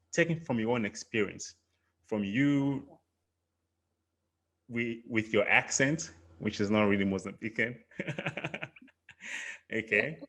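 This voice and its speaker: Low voiced guy hosting a podcast, casual, trips over his words a lot. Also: a bit bright. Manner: casual, stammering restarts, laughs easily. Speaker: podcast host. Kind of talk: podcast conversation.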